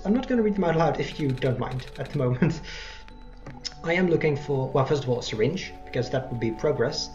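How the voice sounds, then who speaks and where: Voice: medium pitch, darkish tone, dull audio. A let's play host giving casual, rambling game commentary with self-deprecating asides.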